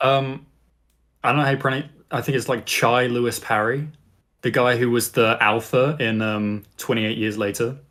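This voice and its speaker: Man chatting casually, mid-pitched voice. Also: steady tone, normal speed, a bit bright. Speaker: podcast panelist. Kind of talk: casual chat.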